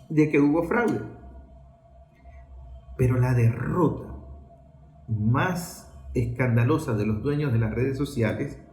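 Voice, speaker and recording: A Venezuelan man speaks as a political commentator, emphatic and rhetorical. His voice sits a little higher than most men's. The recording is noticeably echoey and roomy. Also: a little mellow. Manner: rhetorical, asks and answers own questions, emphatic. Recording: roomy, echoing sound.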